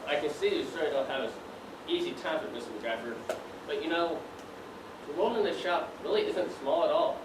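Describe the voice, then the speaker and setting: Stage role: eager, apologetic suitor, a man acting, fairly high male voice, polite and projected, miked from a distance. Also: big pitch swings, a little fast. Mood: hopeful. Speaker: stage actor in a one-act play. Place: theatre stage, distant microphone. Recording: echoey, noisy stage recording from afar.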